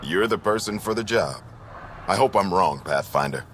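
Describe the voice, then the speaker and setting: A man's voice: low voice, lively intonation, normal speed, slight background noise. A man playing a cautious, earnest colony outpost leader in a video game, serious and burdened. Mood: concerned.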